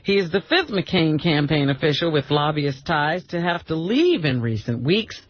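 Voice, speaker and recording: A man's voice: a little high, expressive, moderate pace. A man reading the news on a radio show, often breaking into laughter. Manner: breaks into laughter mid-sentence. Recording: dull radio broadcast audio.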